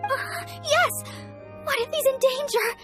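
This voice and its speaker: A woman's voice: extremely high pitch, measured pace, loud music behind the voice. A woman voicing a sweet, anxious young deaconess in a video game, fretful and urgent. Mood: panicked. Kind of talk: video game character acting.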